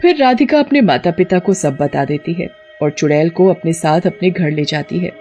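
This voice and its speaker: A woman's voice: on the low side, animated, moderate pace, warm tone, dull audio, faint music behind. A woman narrating a scripted story dramatically as a storyteller.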